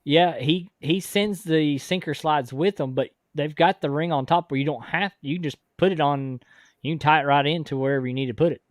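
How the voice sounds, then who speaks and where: Hoarse man hosting a fishing chat. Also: on the high side, fairly quick, no echo, clean studio sound. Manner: relaxed, reads out viewer comments. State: hoarse, deeper voice from illness. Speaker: podcast host. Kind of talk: casual chat on a live fishing podcast.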